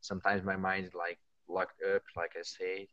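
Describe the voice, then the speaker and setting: A man whose first language is Portuguese, with a Brazilian accent, chatting casually as an interview guest and often pausing to search for words. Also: sits low, level tone, no room sound, lo-fi sound. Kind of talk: casual conversation.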